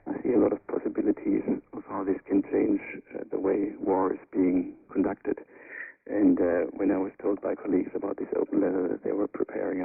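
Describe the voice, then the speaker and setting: A man, a scientist giving hesitant, measured, unscripted interview answers. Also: sits low, creaky, breathy edge. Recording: muffled, narrow-band shortwave radio broadcast.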